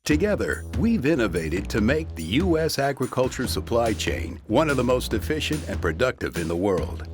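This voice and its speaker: A man's voice: medium pitch, big pitch swings, moderate pace, hardly any pauses. A man reading a scripted corporate advertisement as a voice-over. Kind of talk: scripted corporate promo narration. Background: music.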